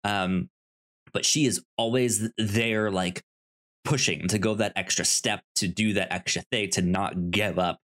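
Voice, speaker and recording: A man with a fairly low voice chats about film as a co-host. He takes his time, stumbling and repeating the beginnings of words as he works through a thought. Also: steady tone, fairly bright tone. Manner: halting, stammering with repeated word starts. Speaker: podcast co-host. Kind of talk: casual film discussion.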